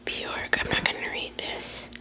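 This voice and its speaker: A young woman whispering close to the mic, rambling, swearing freely, full of fillers. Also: a little slow. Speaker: vlogger. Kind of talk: whispered casual chat.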